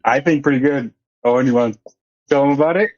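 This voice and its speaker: A man's voice: mid-range voice, quick pace, darkish tone. A father calling in to a talk show, casually coaxing his young son to speak. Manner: encouraging, coaxing. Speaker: caller, a father. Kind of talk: casual call-in chat. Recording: dull lo-fi call audio.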